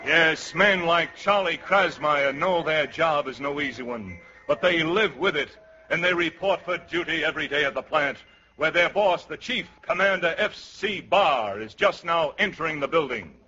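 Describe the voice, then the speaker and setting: An actor playing a solemn, pompous narrator in a parody of a war film, grave and grandiose, in 1950s radio style. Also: male voice, on the high side, moderate pace, bright, forceful tone, slight background noise. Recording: dull 1950s radio recording, music behind.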